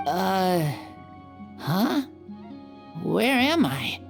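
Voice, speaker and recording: Sleepy game child: hesitant, dreamy, creaky voice, slow delivery, light music behind. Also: female voice, mid-range voice, sing-song, full character voice. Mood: confused. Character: groggy, bewildered young kid just waking up.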